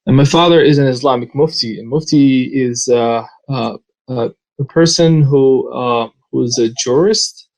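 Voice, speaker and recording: A man's voice: mid-range voice, moderate pace, poor audio. Interview guest, man, hesitant and filler-heavy, ordinary middle-range voice. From Saudi Arabia. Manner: frequent hesitant fillers, soft laughs. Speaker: guest, immigrant ministry worker. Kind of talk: personal testimony in interview.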